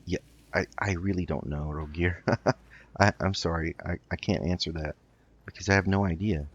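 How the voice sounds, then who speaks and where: A man's voice: low-pitched, fairly even intonation, normal speed, husky, lo-fi sound. A man hosting a casual let's play, thinking aloud hesitantly as he goes. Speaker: gaming video host.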